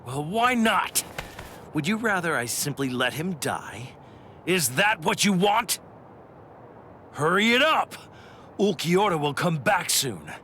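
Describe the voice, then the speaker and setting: Brash, sharp-voiced anime warrior villain. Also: male voice, fairly high pitch, very animated, slow, pauses often, a little hiss or hum, exaggerated voice. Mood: furious, impatient. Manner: curt, taunting, bossy. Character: brash, aggressive warrior villain, hot-tempered and predatory. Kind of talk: English anime dub acting.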